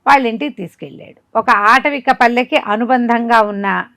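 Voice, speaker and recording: A woman's voice: a little high, normal speed, gravelly, no echo, studio quality. A woman telling a folk tale conversationally to a listener, acting it out as she goes. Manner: animated retelling, acting out quoted dialogue. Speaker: storyteller.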